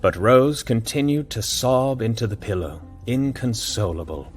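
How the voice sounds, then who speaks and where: A man's voice: mid-range voice, animated, a little slow, soft background music. A man narrating a scripted audio drama as a dramatic storyteller.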